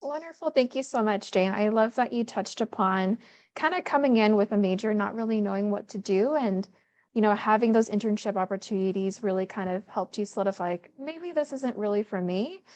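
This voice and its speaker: Mid-pitched woman interviewer. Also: a little fast, darkish tone. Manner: affirming, recaps answers before asking. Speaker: interviewer, moderator. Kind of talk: conversational interview.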